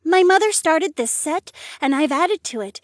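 A woman playing a grateful, gentle and earnest young townswoman in an audiobook story. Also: very high-pitched, lively intonation, moderate pace, dry recording, clean studio sound. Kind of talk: audiobook character dialogue.

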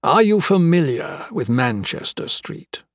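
A man voicing an older gentleman villain in an audiobook, with florid, mock-courteous, taunting speech. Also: fairly high pitch, big pitch swings, unhurried, no echo, clean studio sound, voice pushed up. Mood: cold threat. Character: menacing, theatrical old gentleman crime boss, mocking and sentimental. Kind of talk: dramatic audiobook character acting.

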